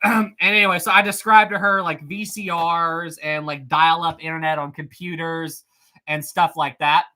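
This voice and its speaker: A man in his thirties streaming live, chatting casually with viewers and reading their comments, playful and teasing, laughing often and clearing his throat now and then. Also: fairly high pitch, little pitch movement, moderate pace, pushed and bright, poor audio. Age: 30s.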